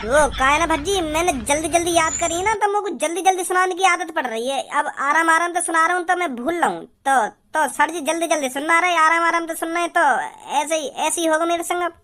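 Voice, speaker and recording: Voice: very high-pitched, brisk, sharp tone. A performer voicing a dim schoolboy in a comic cartoon, pleading and repeating himself as he stalls with excuses. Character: dim, excuse-making cartoon schoolboy who fumbles his recitation.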